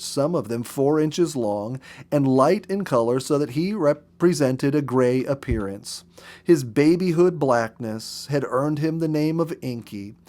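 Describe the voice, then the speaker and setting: A man reading a story aloud as a narrator, with expressive, dramatic and deliberate delivery. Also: mid-range voice. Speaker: story reader.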